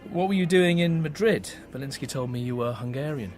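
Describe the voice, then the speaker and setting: A man's voice: mid-range voice, brisk, a little mellow, natural voice. Male actor playing a polite wartime Englishman, English accent, wry, hesitant, understated, espionage radio play. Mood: politely curious. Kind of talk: radio drama acting with first-person narration. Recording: music, ship and outdoor ambience behind.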